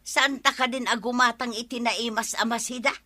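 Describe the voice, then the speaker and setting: A woman's voice: on the high side, steady tone, normal speed, sharp tone, no room sound. A woman with an Ilocano Filipino accent playing a vain, scheming housewife in a comedy radio drama. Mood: complaining. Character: vain, scheming housewife keen on beauty products.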